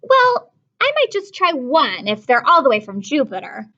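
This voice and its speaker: A woman voicing a small, fussy, stubborn little girl in a storybook, with emphatic, drawn-out delivery. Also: high-pitched, wildly swooping pitch, pushed and bright, muffled recording, exaggerated voice. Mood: reluctant, wavering. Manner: emphatic, declarative, drawn-out refusals.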